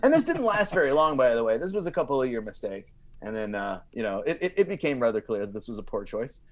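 A man's voice: mid-range voice, big pitch swings, fast talker. A man telling comic anecdotes as a podcast guest, casually and emphatically, often repeating himself as he builds the story.

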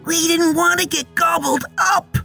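A man voicing anxious, excitable little sisters with a pleading, childlike delivery in a storybook tale. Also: very high voice, measured pace, gravelly, faint music behind, pitched above the performer's own voice, full character voice. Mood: excited, defensive. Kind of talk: storybook character voice.

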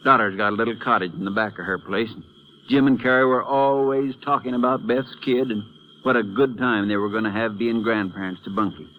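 A man plays a folksy small-town sheriff in an old radio western. His lowish voice is sympathetic and homespun, talking plainly through a faintly noisy vintage broadcast. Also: steady tone, highs cut off, natural voice. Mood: saddened, fond. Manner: homespun, sympathetic, conversational drawn-out phrasing. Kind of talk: 1950s American western radio acting.

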